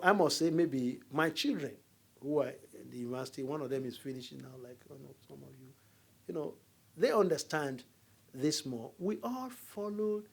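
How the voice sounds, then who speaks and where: A man's voice: on the high side, gravelly. A middle-aged Nigerian man with a Nigerian accent, giving a university lecture as a diplomat, telling stories and jokes to the audience. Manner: storytelling, animated, jokes with audience.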